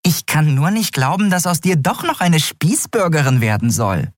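A man voicing a small winged female companion in a fantasy audiobook, sceptical, pointed and teasing, quick to take offence. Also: a little high, big pitch swings, dry recording, clean studio sound, voice pushed up.

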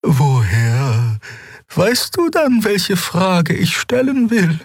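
An ancient non-human healer woman, centuries old yet humble, speaking in a soaring, drawn-out high voice, as a male reader puts her on. Her words come slow and earnest, with a husky edge and a dry, close sound. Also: very animated, dry recording, full character voice. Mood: pleading. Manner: soft, earnest, halting pleas. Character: ancient gentle female healer creature, earnest.

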